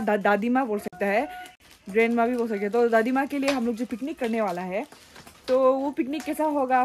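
A young woman from Arunachal Pradesh, chatting excitedly to her phone camera while vlogging around a hill village. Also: sits high. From Arunachal Pradesh, India. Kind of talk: casual vlog narration.

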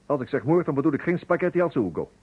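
An actor playing a brusque, sardonic chief police detective in a radio detective drama. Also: male voice, a little high, very animated, fast talker, husky, heavily acted. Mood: sardonic, impatient. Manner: curt, sardonic. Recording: dull, muffled old radio recording.

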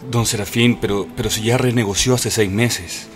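An actor playing a firm, formal banker in a radio drama, speaking in an admonishing manner. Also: male voice, lowish voice, steady tone, normal speed, music in the background, natural voice.

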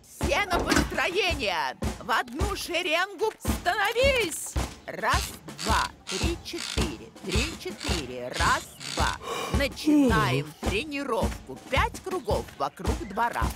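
A woman's voice: high voice, wildly swooping pitch, slow, loud music behind the voice, heavily acted. A woman voicing a bossy, self-assured nanny who gives orders like a drill-sergeant coach in a children's cartoon. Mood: commanding. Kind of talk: animated children's cartoon dialogue.

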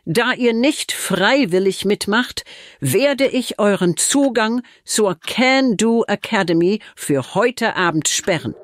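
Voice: mid-range voice, expressive, slow pace, no echo. A performer voicing a teacher firmly locking her students out in a children's audio drama, recorded dry. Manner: firm, resigned. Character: teacher disciplining her class.

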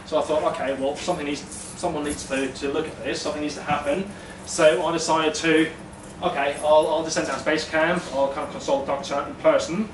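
A man's voice: on the high side, fairly even intonation, a little fast, reverberant. Danish guy telling a story live, chatty, lots of ums, distant mic, room hiss. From Denmark. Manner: chatty, self-deprecating, many ums, gestures while talking. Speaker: storytelling event speaker. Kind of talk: live personal story talk. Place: small brick-walled event room, indoors. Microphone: far room mic.

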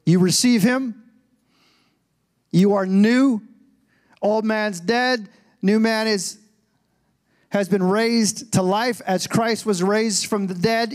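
A man in his forties preaching a sermon on a church stage into a handheld microphone, swinging between loud emphatic lines and quiet conversational asides. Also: high voice. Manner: preaching with rising and falling intensity, conversational asides. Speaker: pastor.

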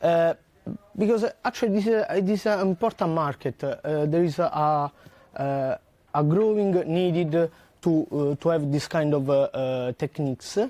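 A man, a researcher giving a conference talk in a lecture hall, earnest and full of "uh" pauses. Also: on the high side, dark tone. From southern Italy. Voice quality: frequent "uh" fillers and restarts, earnest.

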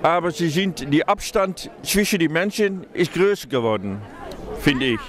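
A man in his sixties with a Dutch accent, answering street interview questions slowly with many pauses and fillers, outdoors at a crowded Christmas market at night. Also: on the high side, animated. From Netherlands. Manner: slow, hesitant, many fillers. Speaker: market visitor. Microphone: handheld mic held close. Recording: loud crowd behind.

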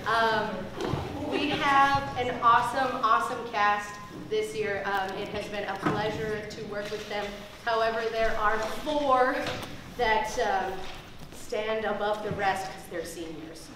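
A woman introducing a play informally as its director, halting and correcting herself. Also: medium pitch. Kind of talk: informal live announcement. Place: theater stage. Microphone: distant camera in the audience. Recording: echoey noisy theater from far off.

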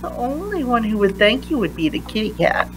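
A woman reading a children's science picture book aloud with deliberate, emphatic phrasing for young listeners. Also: fairly high pitch, sing-song, a little hiss or hum, poor audio. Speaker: read-aloud reader.

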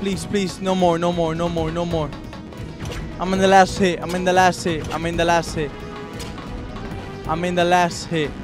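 A man hosting a gaming video with excitable, slangy, profane commentary, often repeating phrases and yelling. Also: high voice, moderate pace, pauses often. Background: video game music and sound effects.

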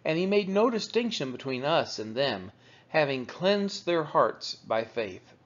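A man reading the Bible aloud as measured narration, with deliberate, emphatic phrasing. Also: a little high, big pitch swings. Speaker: Bible reader. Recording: dull, lo-fi recording.

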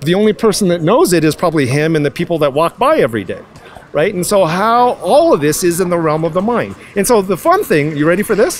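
A young man speaks into a handheld mic on a busy night street, posing rhetorical questions and musing about ideas. His voice sits high and swings widely in pitch. A faint hum of the street is heard behind him. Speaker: passerby. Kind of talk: street interview. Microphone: handheld mic held by interviewer.

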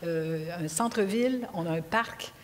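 Low-voiced older Quebec woman on stage. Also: measured pace. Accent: Quebec French. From Quebec, Canada. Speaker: panelist, retired judge. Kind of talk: unscripted panel discussion. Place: large auditorium stage. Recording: reverberant hall, noisy background.

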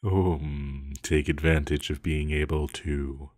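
A man playing an ancient banished villain in an intimate roleplay audio, seductive, possessive and scheming, with teasing, lingering pauses. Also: very deep voice, steady tone, a little mellow, exaggerated voice. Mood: playful, coaxing.

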